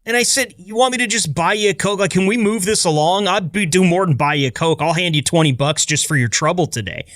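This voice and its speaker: A man with a somewhat high voice talks in a casual, chatty podcast style. He tells stories as a co-host with a sense of amazement, recorded dry with no room sound. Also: fairly bright tone, no echo. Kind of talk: casual conversational storytelling.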